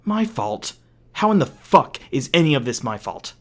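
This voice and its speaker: A man's voice: mid-range voice, very animated, moderate pace, natural voice. A man playing an edgy, hot-tempered monster hunter in an audio roleplay, sweary, defensive and sardonic. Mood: exasperated. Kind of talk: ASMR audio roleplay acting.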